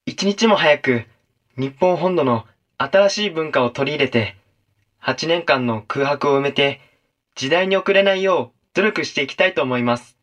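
An actor playing an earnest, modest male high school student answering politely and rather formally in a radio drama interview. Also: animated, normal speed, a bit bright, lo-fi sound.

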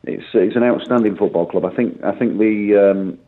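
Phone guest: low-voiced man, halting speech full of restarts, tinny line. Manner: halting, many restarts and fillers. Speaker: football manager guest. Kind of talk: phone interview.

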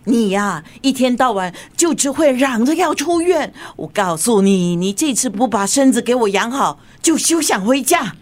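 A woman's voice: on the high side, expressive, normal speed, few pauses. An actress playing a bossy, protective middle-aged mother in a radio drama. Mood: nagging, fussing. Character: feisty, bossy, protective mother of a grown daughter. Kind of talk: Chinese radio drama acting.

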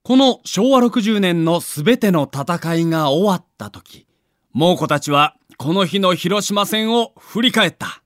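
Male narrator, high voice, measured pace, dramatic sports documentary style, emphatic delivery. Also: animated, a bit bright, no room sound, studio quality. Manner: theatrical, emphatic storytelling.

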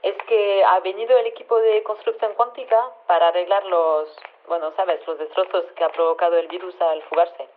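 Flustered female virologist, lowish voice, hesitant explanations, tinny telephone sound, radio drama interview. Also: steady tone, moderate pace, few pauses, slight background noise. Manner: hesitant, rambling, matter-of-fact. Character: flustered, matter-of-fact female head of virology lab. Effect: telephone filter. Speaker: scientist interviewed by phone. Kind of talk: conversational radio phone interview. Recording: tinny narrow phone line.

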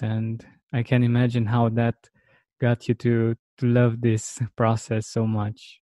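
Male interview host, hesitant and casual, dark mellow tone, close dry sound. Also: mid-range voice, no echo. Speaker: podcast host.

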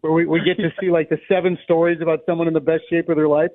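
Higher-voiced man on a phone line. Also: flat delivery, racing, no room sound. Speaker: baseball radio play-by-play announcer giving an interview. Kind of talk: conversational sports analysis.

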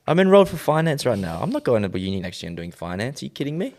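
A man's voice: medium pitch, sing-song, racing, darkish tone. A man, laid-back and slangy in casual podcast chat. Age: 20s. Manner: laid-back, slangy, says "bro" often. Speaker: podcast guest.

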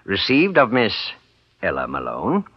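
A creepy genial house owner in a 40s radio play, husky low voice, fussy and repeating himself, dull old recording. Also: male voice, huge pitch swings, a little slow, dry recording, exaggerated voice. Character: fussy, falsely genial middle-aged murderous house owner. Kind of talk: 1940s radio acting.

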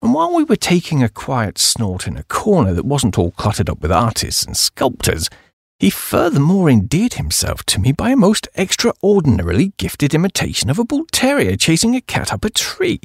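A man acts out a flighty young English toff from a comic audiobook. His upper-class British voice swoops wildly with breezy, slangy emphasis. It has a gravelly, husky edge and a dry, close sound. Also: on the high side, huge pitch swings, moderate pace, no echo, heavily acted. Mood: surprised, chatty. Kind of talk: first-person comic audiobook narration in character.

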